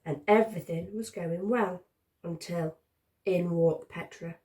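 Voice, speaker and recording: A woman reading a children's story aloud expressively, as a storyteller who uses dramatic pauses. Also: on the low side, warm tone. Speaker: storybook reader.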